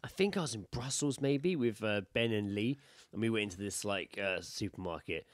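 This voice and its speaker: A man's voice: fairly low pitch, brisk, no echo. A British man co-hosting a podcast, chatting casually with matey, sweary banter. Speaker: podcast co-host. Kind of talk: casual chat.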